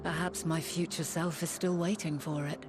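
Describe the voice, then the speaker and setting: A serene ancient scholar voiced by a woman, speaking in a very low, grave voice with slow, reverent, old-fashioned phrasing. Her lines come as game cutscene dialogue over a swelling orchestral score. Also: fairly even intonation, over music, lightly acted. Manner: slow, solemn and reflective, archaic phrasing.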